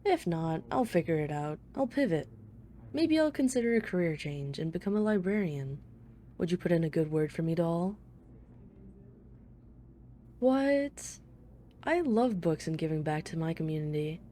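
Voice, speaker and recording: Woman playing a low, mellow, teasing female mafia boss. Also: lively intonation, moderate pace, long pauses, slight background noise, natural voice. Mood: smug, charming. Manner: playful, smug, flirtatious banter. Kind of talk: ASMR roleplay, one-sided dialogue.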